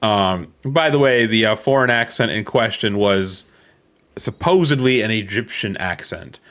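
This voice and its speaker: A man co-hosting a podcast, laughing often and talking in a halting way with fillers. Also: lowish voice, expressive, a bit bright. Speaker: podcast co-host. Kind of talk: casual banter and storytelling.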